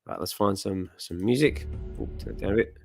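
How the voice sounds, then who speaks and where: A man with a slightly hoarse voice hosting a comic reading stream, chatting casually and rambling with lots of fillers. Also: on the low side, a little fast, a little mellow, soft background music. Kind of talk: casual chat.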